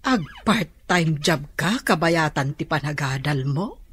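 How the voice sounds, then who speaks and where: A woman's voice: deep voice, lively intonation, unhurried, fairly bright tone, husky, full character voice. An actress playing a concerned, protective middle-aged mother in a radio drama. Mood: concerned, proud.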